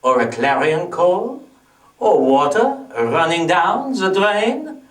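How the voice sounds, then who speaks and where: A man's voice: high-pitched, sing-song, exaggerated voice. A man playing a proud French music-hall performer of the belle epoque, telling his life as a theatrical rhyming monologue in a French accent. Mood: triumphant, dramatic. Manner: theatrical, deliberate, dramatic storytelling. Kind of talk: rhyming comic stage monologue. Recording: echoey room, distant mic.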